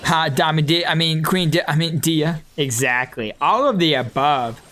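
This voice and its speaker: A man's voice: fairly high pitch, normal speed, crowd noise. A man doing casual live gameplay commentary, joking and bantering with the game and his chat. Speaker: game streamer.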